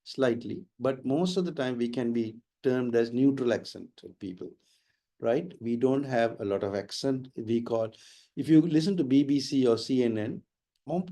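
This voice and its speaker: A man's voice: medium pitch, dark tone. A middle-aged man with a slight Sri Lankan accent, giving an explanatory IELTS webinar lecture and dropping in Sinhala phrases. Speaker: IELTS trainer.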